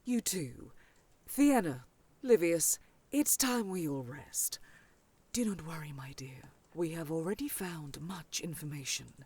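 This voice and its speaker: A woman's voice: sits low, animated, measured pace, darkish tone, husky, heavily acted. A woman playing a caring, authoritative older scholarly aunt in a fantasy audio roleplay, with solemn, formal delivery. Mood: tender, earnest. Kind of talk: audio roleplay drama acting.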